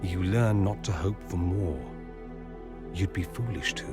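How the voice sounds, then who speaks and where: A man's voice: low voice, a little slow, loud music behind the voice. An actor playing a witty, flirtatious mage nobleman in a video game, with an arch, teasing, theatrical delivery. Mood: wistful. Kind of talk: video game cutscene acting.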